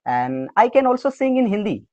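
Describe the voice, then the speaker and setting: A man's voice: high voice, muffled recording. A man from Odisha, a native Odia speaker, talking about learning English in a casual chat, with an Odia accent and a habit of saying "okay" between thoughts. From Odisha, India. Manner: animated, fills pauses with "okay". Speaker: interview guest, English learner. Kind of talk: casual conversation on a call.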